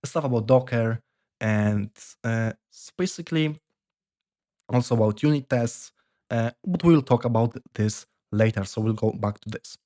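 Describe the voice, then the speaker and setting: Young Polish-accented man, casual vlog advice, stops and restarts his sentences, ordinary pitch. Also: dark tone. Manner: halting, many fillers and restarts. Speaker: software developer vlogger.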